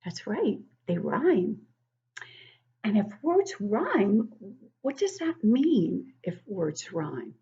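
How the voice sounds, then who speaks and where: An older woman giving an interactive read-aloud lesson for young children, encouraging and expressive, asking questions and explaining things simply. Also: medium pitch, breathy edge, dull audio. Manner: encouraging, asks questions and pauses to praise answers. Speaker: children's book club teacher reading poems aloud.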